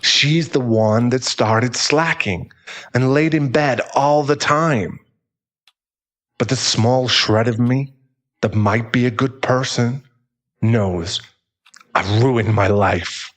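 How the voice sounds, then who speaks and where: Guy playing a bitter divorced dad, emotional and halting, slow talker, audio roleplay, sweary. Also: mid-range voice, fairly bright tone. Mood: remorseful, halting. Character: guilt-ridden divorced husband and father, hot-tempered, bitter.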